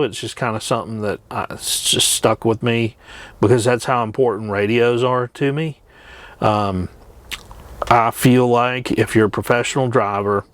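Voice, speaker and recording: A middle-aged man talking in a casual, rambling vlog style, plain-spoken and hesitant, with trailing pauses. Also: on the low side. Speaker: truck driver and vlogger. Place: truck cab.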